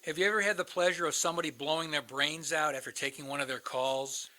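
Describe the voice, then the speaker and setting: A man's voice: a little high, fairly even intonation, fast, bright, forceful tone. An actor playing a bitter, sardonic man in a radio play, blunt and sarcastic. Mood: scornful, bitter. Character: bitter, sardonic man, crisis counselor posing as suicidal caller.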